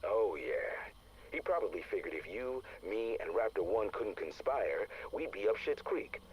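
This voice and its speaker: An actor playing a blunt, impatient male soldier with a gruff, sardonic manner. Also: on the low side, big pitch swings, measured pace, slight background noise, poor audio.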